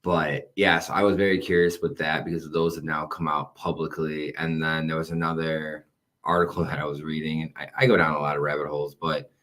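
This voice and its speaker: Brief: thirties male podcast host, very low voice, rambling, self-mocking chat. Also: little pitch movement, a little fast, fairly bright tone. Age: 30s. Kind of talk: casual wrestling podcast chat.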